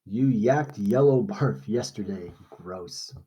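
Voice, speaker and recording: A man with a fairly low, very mellow, soft-edged voice speaks as a teacher in an informal lesson. He explains and reads aloud in an instructional manner, checking in with his students as he goes. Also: slow, breathy edge. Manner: explanatory, checks understanding with short questions.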